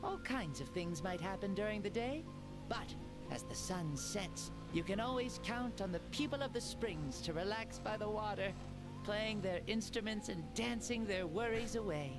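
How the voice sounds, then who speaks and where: Old warrior spirit, gentle, nostalgic, lively rising and falling voice, music underneath. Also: male voice, high voice, moderate pace. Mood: content. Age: older. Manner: calm, reflective, warmly grateful. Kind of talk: game cutscene voice acting. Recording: game audio with music underneath.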